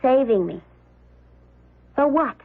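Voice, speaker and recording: A woman's voice: high-pitched, lively intonation, slow, vocal fry, full character voice. An actress playing a rich man's restless girlfriend in a radio drama, coy, coaxing and informal. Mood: challenging. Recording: thin, phone-like radio drama audio.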